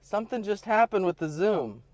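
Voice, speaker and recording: A man's voice: high voice, very animated, moderate pace, darkish tone, no echo. A man chatting casually as a podcast host on a remote video call. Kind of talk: casual chat.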